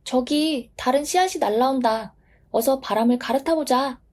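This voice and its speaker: Storybook dust specks, tiny and cheeky, high squeaky chatter, as a woman puts them on. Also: normal speed, a little mellow. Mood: giggly.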